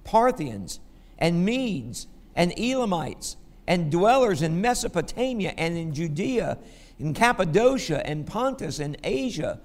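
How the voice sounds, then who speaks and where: A man's voice: high voice, sing-song, measured pace, big-room sound. A man with a Southern American accent preaching a sermon, folksy, checking in with the congregation with "okay?".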